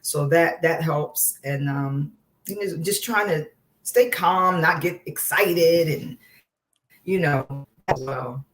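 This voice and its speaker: Calm soft-spoken woman guest. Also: low-pitched, takes it slowly, rough recording. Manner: soft, calm, leans on "you know" fillers. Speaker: guest, wellness coach. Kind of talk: casual interview conversation.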